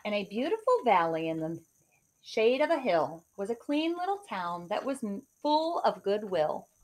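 A woman in her forties, a teacher, reading a rhyming picture book aloud in a sing-song, expressive way at home into a webcam. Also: medium pitch, moderate pace. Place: home living room.

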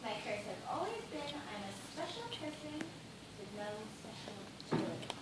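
A young woman acting in an amateur stage play as an intense, fame-obsessed would-be killer, delivering an earnest, deliberate monologue on a distant noisy recording. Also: high voice, sing-song. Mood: earnest, confessional. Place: theatre stage indoors. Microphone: distant audience camera. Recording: echoey stage from afar, noisy.

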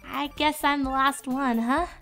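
Woman acting earnest teenage cartoon girl, high-pitched, unhurried, a little awkward. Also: fairly even intonation, a bit bright, soft background music. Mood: earnest, unsure. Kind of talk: animated character acting in a fan audio story.

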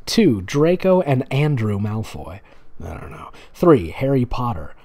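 Guy reading stuff out loud for laughs, soft warm tone, super up and down, snarky. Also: mid-range voice, big pitch swings, measured pace. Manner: sarcastic, mocking, dramatic asides. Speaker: host reading and mocking fan fiction. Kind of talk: casual comedic read-aloud with sarcastic commentary.

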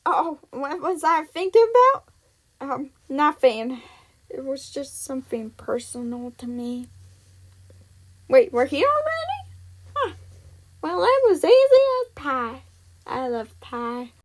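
A woman plays an adventurous young female pirate with a high voice that swoops expressively. She speaks at an unhurried pace, earnest and wistful, with dramatic cartoon emotion. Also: sing-song, exaggerated voice. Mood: sheepish. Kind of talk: animated character voice lines.

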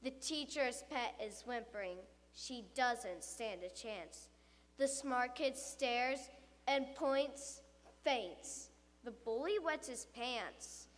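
A woman's voice: fairly high pitch, slow pace, a little hiss or hum. A woman playing a dramatic, frightened school pupil telling a tall tale in rhyming verse. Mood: smug, then scared. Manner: dramatic, expressive storytelling. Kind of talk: rhyming comic monologue, theatrically recited. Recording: reverberant hall.

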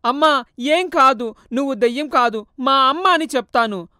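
A woman's voice: high voice, fairly quick, fairly bright tone, no room sound, studio quality, voice pushed up. A woman voicing a grieving young man who pleads and cries out to his mother. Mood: weeping. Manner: tearful, pleading, crying out.

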